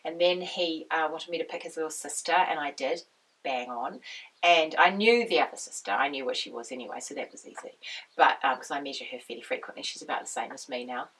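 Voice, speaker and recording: A woman hosting her knitting podcast with a husky, croaky voice, chatting in a rambling, friendly way. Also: sits low, a little fast, fairly bright tone, recorded from a distance, poor audio. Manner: rambling, friendly, with many restarts and laughs. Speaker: podcast host and knitwear designer. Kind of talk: casual chatty monologue.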